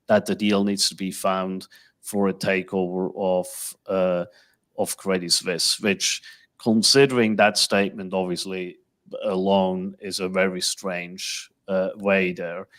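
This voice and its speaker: A man giving casual market commentary as host, in a halting, hesitant way. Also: low-pitched, level tone, fairly bright tone. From Switzerland.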